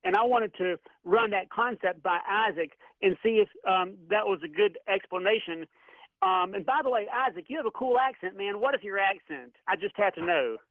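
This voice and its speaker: A man from Florida calling into a radio show, friendly and halting as he searches for words. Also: high voice, expressive, no echo. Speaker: caller. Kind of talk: phone-in caller. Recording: tinny phone line.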